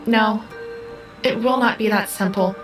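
An actress voicing a calm female artificial intelligence in an audio drama, speaking precisely and formally. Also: mid-range voice, unhurried, lightly acted. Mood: grave, formal. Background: music.

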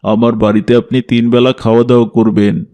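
A man plays a strict middle-aged cartoon father, devout and formal. His mid-pitched voice stays very even as he gives measured, authoritative instructions. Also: natural voice. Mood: courteous, formal.

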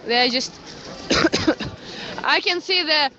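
A young Ukrainian woman with a Ukrainian accent, streaming live from a cold city street in halting English with many restarts, speaking into a phone. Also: high voice, sharp tone. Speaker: citizen journalist. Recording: lo-fi phone stream with crowd around. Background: crowd of protesters.

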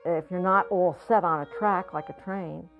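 Older woman, potter, reflective interview, repeats words, low voice, tinny narrow sound. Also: fairly even intonation, moderate pace, soft background music. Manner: thoughtful, searching for words, repeats herself. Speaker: potter and art school founder. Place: studio or home with pottery shelves.

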